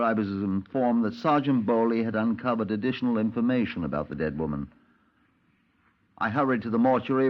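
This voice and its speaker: A methodical British police detective played by a man in an old radio drama. His voice is low, dry and formal, measured in delivery. The recording is dull 1950s radio. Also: lightly acted. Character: male police sergeant detective narrating his case, methodical. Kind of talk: 1950s radio crime drama, narration and dialogue.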